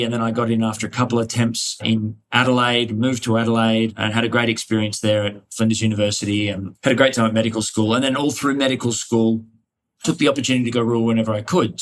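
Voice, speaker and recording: An Australian man answering interview questions conversationally, in a reflective, discursive manner. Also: mid-range voice. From Hunter Valley, New South Wales, Australia. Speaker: interview guest, cardiologist.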